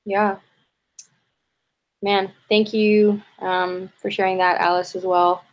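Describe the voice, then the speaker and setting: Lady host, pretty monotone, says "like" a lot, affirming guests. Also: mid-range voice, takes it slowly. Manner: warmly affirming, with many "like" fillers. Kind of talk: casual podcast chat.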